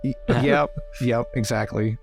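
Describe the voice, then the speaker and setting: A man's voice: medium pitch, steady tone, a little slow. A man chatting casually as a player in a tabletop game. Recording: dry close recording, music behind.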